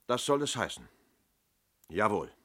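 An actor playing a firm, coolly courteous police inspector who questions formally and pointedly in a German radio play. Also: male voice, lowish voice, big pitch swings, measured pace.

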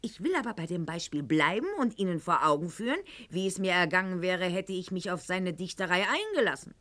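An actress playing a proud, sharp-tongued aristocratic lady in a radio play monologue, speaking in an articulate, ironic and haughty manner. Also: lowish voice, animated, brisk. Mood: indignant, sardonic. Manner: haughty, ironic, articulate, addressing a silent listener.

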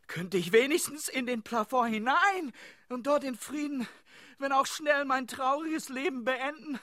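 Radio play role: a despairing trapped soul, high-voiced, lamenting theatrically, very dry close sound. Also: female voice, a little slow, fairly bright tone, no echo. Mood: bleak, resigned. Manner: lamenting, dramatic, literary delivery. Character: despairing person trapped helplessly up on a ceiling. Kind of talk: German radio play acting.

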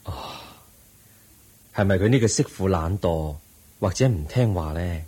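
The serene, wise Buddha. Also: male voice, sits low, expressive, measured pace, slightly rough, full character voice. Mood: serene, patient. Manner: gentle, patient, questioning like a teacher. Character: serene wise Buddha, calm male teacher. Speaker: Buddha in a radio play. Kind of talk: 1980s-style radio drama acting.

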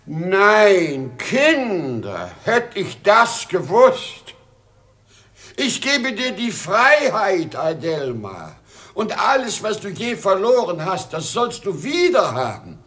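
Slow, sentimental old emperor. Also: male voice, sits high, huge pitch swings, a bit bright, exaggerated voice. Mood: relieved, confiding. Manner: theatrical, halting delivery. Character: sentimental old emperor, weepy, kindly, slyly confiding. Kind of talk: 1950s German radio play acting.

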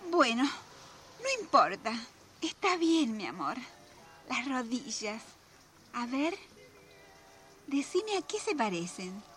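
An older, sensual Argentine wife in a radio drama. Her Rioplatense speech is slow and theatrical, with big melodic swings and a bright, coquettish edge. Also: sits high, big pitch swings, soft background music, exaggerated voice. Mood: aroused, yearning.

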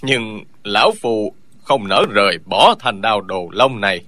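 A man's voice: fairly low pitch, a little slow, fairly bright tone, no room sound. An actor voicing a greedy, stubborn old man who quavers and wails as he clings to his treasured saber in an audiobook. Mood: quavering. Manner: quavering, wailing, grasping. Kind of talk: audiobook character dialogue.

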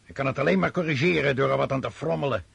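A man acting an imperious, brooding French emperor on campaign in a radio play, with a commanding, declamatory manner and a husky voice. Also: mid-range voice, racing, fairly bright tone, no room sound, full character voice. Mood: irritable, brooding.

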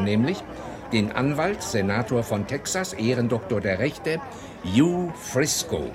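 An actor playing a teasing Texan gossip who relishes telling a scandal in a radio drama. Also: male voice, fairly low pitch, natural voice. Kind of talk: radio drama dialogue, anecdotal storytelling.

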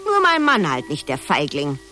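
Indignant middle-aged housewife, very animated. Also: female voice, sits low, moderate pace, soft background music, heavily acted. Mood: annoyed, complaining. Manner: huffy, complaining, excitable. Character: indignant middle-aged housewife and mother, married twenty years. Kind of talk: satirical radio drama acting.

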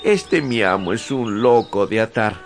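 An actor playing a wily, flustered peasant squire who wheedles and stammers in a radio drama. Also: male voice, lowish voice, expressive, a little slow, soft background music. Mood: scheming.

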